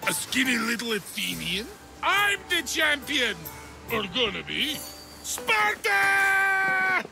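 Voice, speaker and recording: Boastful, dim, high-pitched muscleman. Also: sing-song, takes it slowly, gravelly, loud music behind the voice, exaggerated voice. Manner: bragging, overexcited, simple-minded. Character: boastful, dim-witted, childlike brawny male athlete. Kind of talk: comic video game acting.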